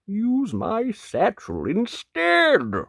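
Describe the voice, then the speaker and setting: A kindly, timid storybook wolf, as a narrator puts him on. He speaks slowly in a raised voice, gentle, earnest and a little bashful. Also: medium pitch, wildly swooping pitch, vocal fry, husky, no room sound, studio quality, pitched above the performer's own voice, full character voice. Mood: helpful.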